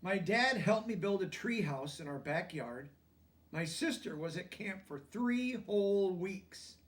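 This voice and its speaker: A man's voice: fairly high pitch, recorded from a distance. A man reads a children's picture book aloud expressively, explaining things simply for young listeners. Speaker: storybook reader.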